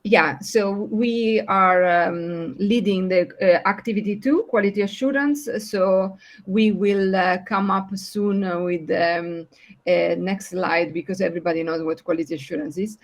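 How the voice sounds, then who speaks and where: A woman from Italy with an Italian accent, presenting slides at an online project meeting, pausing often with filler sounds. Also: medium pitch, steady tone. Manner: hesitant, many filler sounds and restarts.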